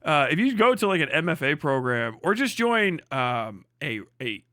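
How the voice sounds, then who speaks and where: A man's voice: on the high side, big pitch swings, moderate pace, bright, forceful tone, no room sound, studio quality. A man livestreaming mocking, profanity-heavy reaction commentary as host, in a crude, exclamatory riffing manner. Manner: often breaks into laughter at own riffs.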